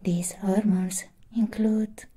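A young woman playing a caring female AI companion doll in a gentle, whispery ASMR roleplay. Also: medium pitch, flat delivery, crowd noise, close to an everyday voice. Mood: tender, soothing. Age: young adult. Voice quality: breathy, whispery. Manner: gentle, slow, deliberate.

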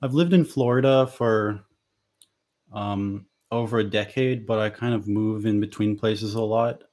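Young man, from Lithuania, native Lithuanian speaker, somewhat low voice, halting casual talk, headset in a bedroom. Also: fairly even intonation, darkish tone. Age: young adult. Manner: hesitant, many ums and restarts. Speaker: author hosting a livestream. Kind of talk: casual livestream chat. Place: small bedroom at home, indoors.

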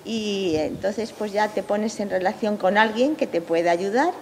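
Older lady from madrid, handheld mic, calm and thoughtful, kinda gravelly. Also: medium pitch, measured pace, a little hiss or hum. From Madrid, Spain. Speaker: superior of the monastery. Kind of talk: interview answers. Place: cloister garden outdoors.

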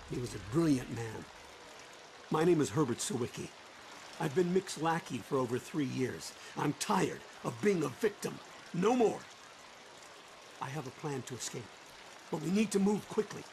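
Casting: downtrodden middle-aged helper in game drama, nervy resolve, fry-edged voice, clear background noise. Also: male voice, on the high side, a little mellow. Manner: anxious, urgent. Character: timid downtrodden male lackey, nervously determined. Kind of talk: video game cutscene acting. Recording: noisy ambience behind.

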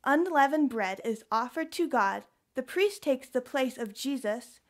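Woman as Cecilia, devout higher-voiced Roman wife. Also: expressive, measured pace. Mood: firm. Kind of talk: audio drama acting.

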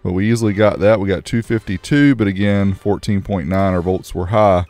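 Low-voiced man narrating an amp test. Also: fairly even intonation, a little fast, darkish tone, soft background music. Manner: matter-of-fact, technical, casual. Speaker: car audio reviewer. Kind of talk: product review and test narration.

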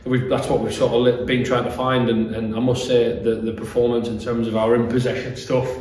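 A man's voice: mid-range voice, flat delivery, brisk, a little mellow. A British man in his thirties gives a post-match interview as a football manager. His phrasing is hesitant, with repeated words. The room is strongly echoey and he sits away from the mic. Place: small bare-walled room indoors. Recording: echoey room, distant mic, faint noise.

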